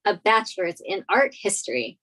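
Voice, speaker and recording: A woman's voice: mid-range voice, sing-song, moderate pace, bright, forceful tone, low-quality recording. An actress reading the part of a naive, sheltered young woman in a sitcom table read. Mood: sheepish.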